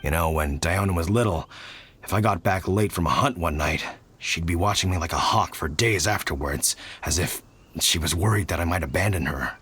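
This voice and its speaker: A middle-aged man voicing an easygoing, fatherly hunter who talks in a relaxed, thoughtful way. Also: low voice, normal speed, a little hiss or hum. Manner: relaxed, thoughtful, frequent sighs. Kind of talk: game cutscene voice acting.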